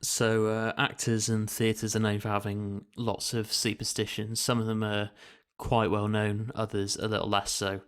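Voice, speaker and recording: A man with a low voice and very little rise and fall in pitch. He talks as a podcast co-host, explaining casually and qualifying what he says as he goes. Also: completely flat delivery, moderate pace, few pauses, clear, resonant tone.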